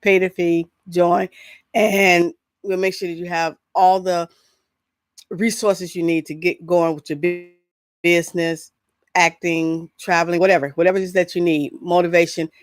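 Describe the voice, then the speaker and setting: A woman's voice: fairly low pitch, flat delivery, normal speed, no room sound. Chatty middle-aged woman hosting, close dry sound. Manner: chatty, loose and rambling, often breaking off into asides. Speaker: host of an entertainment news show. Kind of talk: casual solo vlog chat with announcements.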